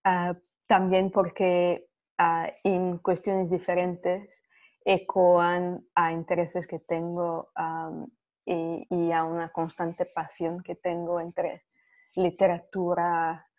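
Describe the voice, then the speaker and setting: Brief: woman, flat and slow, pausing often, dull lo-fi call audio. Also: on the low side. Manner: hesitant, searching for words in a second language. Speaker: writer and researcher, panel guest. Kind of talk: online panel conversation. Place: at home. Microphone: video call.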